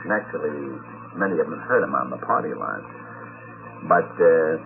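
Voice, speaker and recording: A man hosting an unscripted radio panel talk, speaking in a halting, deliberate way. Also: a bass voice, expressive, vocal fry, music in the background. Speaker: radio talk show host. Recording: tinny, noisy 1950s radio recording.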